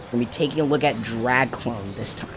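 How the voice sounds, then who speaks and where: A man with a raspy voice hosting a casual game strategy guide, explaining attacks step by step and correcting himself as he goes. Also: medium pitch, quick pace, music in the background. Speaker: gaming channel host.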